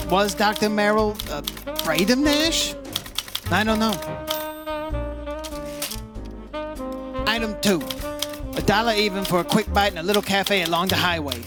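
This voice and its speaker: A man's voice: high voice, close to an everyday voice. A man playing a straight-talking, inquisitive insurance investigator in an old-time radio detective drama, in plain, clipped phrases. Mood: brooding narration.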